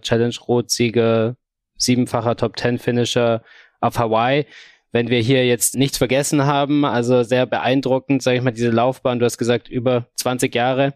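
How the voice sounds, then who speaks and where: A man's voice: medium pitch, a little mellow, dry recording, clean studio sound. A man co-hosting a podcast, asking questions in an earnest, formal way. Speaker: podcast co-host. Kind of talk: semi-scripted podcast hosting.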